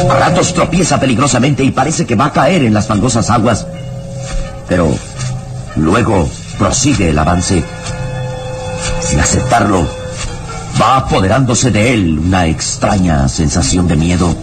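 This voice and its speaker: A man narrating an old radio serial, solemn and suspenseful, with deliberate dramatic phrasing. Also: on the low side. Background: loud dramatic music.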